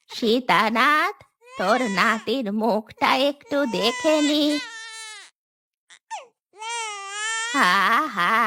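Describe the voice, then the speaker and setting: A woman puts on an elderly village grandmother for a cartoon. She is doting and bossy, drawing her words out slowly and sentimentally. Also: on the high side, pushed and bright, heavily acted. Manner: slow, drawn-out delivery.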